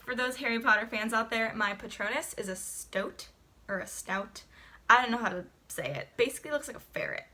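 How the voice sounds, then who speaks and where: A woman's voice: a little high, expressive, fairly quick, bright, forceful tone. A young woman chatting casually in a get-to-know-me vlog, rambling with lots of fillers. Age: young adult. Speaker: vlogger.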